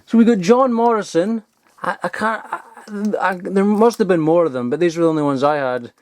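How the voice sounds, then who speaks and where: A man, chatting casually and excitedly while digging through old toys, rambling and swearing now and then. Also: high voice, fairly quick, no echo. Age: 20s. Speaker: toy collector vlogger. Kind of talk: casual unboxing chat.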